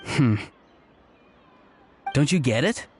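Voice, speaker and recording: An actor playing a kindly, gently teasing male friend in a video game, with a reassuring manner. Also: mid-range voice, lively intonation, slow pace, slight background noise.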